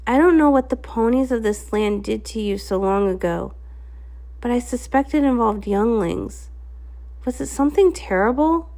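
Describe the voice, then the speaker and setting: Woman playing an earnest, creaky-voiced pony princess. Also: on the high side, lively intonation, normal speed, warm tone. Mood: gentle sympathy. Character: young female pony princess, earnest, compassionate. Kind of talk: comic read-along character voice.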